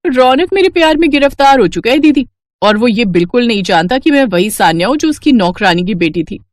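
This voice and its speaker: A woman's voice: on the high side, sing-song, brisk, dry recording, clean studio sound. A woman playing a determined, ambitious young woman from a poor family in a cartoon love story, bold and insistent. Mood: defiant, proud.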